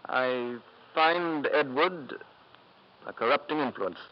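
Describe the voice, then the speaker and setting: An actor playing a principled, uneasy school headmaster in a 1950s radio drama, speaking formally, earnestly and with hesitation. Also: male voice, medium pitch, slow pace, close to an everyday voice. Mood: earnest, firm. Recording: dull lo-fi old radio broadcast.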